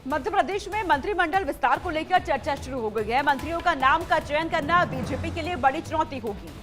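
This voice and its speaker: A woman's voice: high voice, animated, a little fast, a bit bright, faint music behind. A woman news anchor reading scripted headlines.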